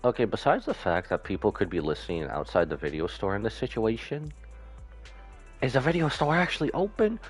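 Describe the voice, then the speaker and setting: A man's voice: lowish voice, big pitch swings, brisk, breathy edge, soft background music. A man giving casual, slangy live game commentary with skeptical asides. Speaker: game streamer.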